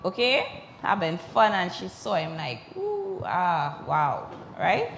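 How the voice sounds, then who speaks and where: Animated woman co-host in echoey room. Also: fairly low pitch, takes it slowly, vocal fry, slight background noise. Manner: animated, interrupts, repeats words. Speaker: talk show co-host. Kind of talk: casual chat.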